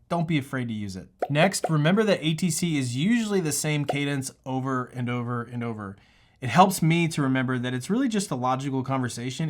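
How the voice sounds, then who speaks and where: A man speaking casually and earnestly, like an instructor hosting a tutorial, with a reassuring, encouraging manner. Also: fairly high pitch. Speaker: pilot and aviation tutorial host. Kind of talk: casual, encouraging advice talk to camera.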